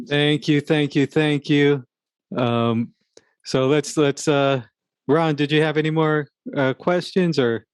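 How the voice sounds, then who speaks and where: A man hosting a casual podcast chat, speaking in a hesitant, halting way and often repeating words. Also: on the high side, fairly even intonation, clear, resonant tone, no echo. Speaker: podcast host.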